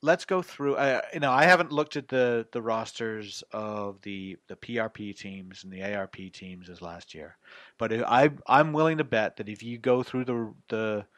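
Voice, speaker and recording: A man co-hosts a podcast on a dry, close microphone. He speaks in halting bursts, repeating words and joking at his own expense. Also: lowish voice, a bit bright, no echo. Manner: hesitant, stammering, self-deprecating. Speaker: podcast co-host. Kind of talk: casual podcast chat.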